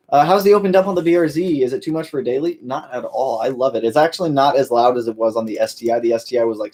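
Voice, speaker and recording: Brief: male car-channel host, relaxed live Q&A, filler-heavy and friendly, mid-range voice. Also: medium pitch. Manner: laid-back, many fillers, calls viewers "my man". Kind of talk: casual live-stream Q&A.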